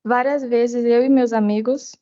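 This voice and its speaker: Soft, high-voiced teenage girl, native Portuguese speaker. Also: steady tone, normal speed. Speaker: student giving testimony. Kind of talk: public testimony in short phrases for interpretation. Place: committee hearing. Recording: dull, muffled audio.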